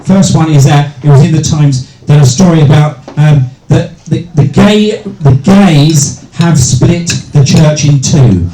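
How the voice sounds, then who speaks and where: A middle-aged male stand-up comedian with a deep voice hosting a live comedy night, bantering with hecklers and swearing freely. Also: a little slow, few pauses. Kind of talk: stand-up comedy with crowd work. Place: small live venue with a crowd. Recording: echoey room with loud crowd.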